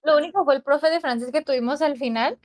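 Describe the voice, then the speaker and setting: A woman's voice: high-pitched, fast talker. A young woman, a language teaching student, talking over a class she watched in a reflective, hedging way with many fillers. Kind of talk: casual conversation analyzing a class.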